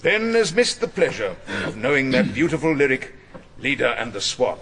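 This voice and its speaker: An actor playing a wily, irascible older English defence barrister in a radio drama, theatrical, probing and dryly ironic. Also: male voice, medium pitch, sing-song, moderate pace, a bit bright, a little hiss or hum. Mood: pointed, ironic. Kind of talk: radio drama courtroom acting and narration.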